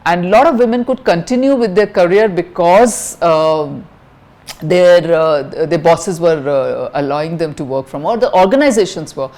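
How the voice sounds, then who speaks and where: A middle-aged woman from India with an Indian English accent and a high-pitched voice, answering interview questions frankly, with lots of fillers and restarts. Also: normal speed, darkish tone. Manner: frank, many fillers and restarts, mixes in Hindi phrases.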